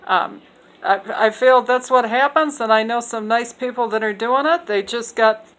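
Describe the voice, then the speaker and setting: Earnest woman, halting with fillers. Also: a little high, a bit bright, poor audio. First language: English. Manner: hesitant, many fillers and restarts; earnest, opinionated. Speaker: speaker explaining Bible passages. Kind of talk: unscripted talk on scripture.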